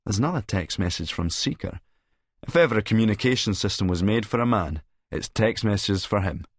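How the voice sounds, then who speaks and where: A Scottish man reads a first-person story with a sardonic edge. His voice sits fairly low and is recorded close and dry. The delivery is dramatic and sneering. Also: expressive, a little fast, dry recording. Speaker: audiobook narrator and actor.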